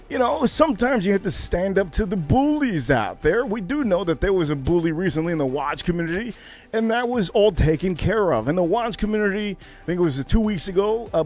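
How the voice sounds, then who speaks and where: Guy rambling on his livestream, talking to chat, lotta uhs, faint music under it. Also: sits high. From United States. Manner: rambling, many fillers, reads out viewer names. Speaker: livestream host. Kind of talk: casual livestream chat with viewers.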